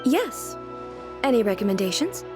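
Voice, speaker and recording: A woman playing a regal, curious, naively literal female ruler in a video game, speaking in formal, measured phrases. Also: fairly high pitch, sing-song. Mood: composed, curious. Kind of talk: scripted video game character dialogue. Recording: music playing behind the voice.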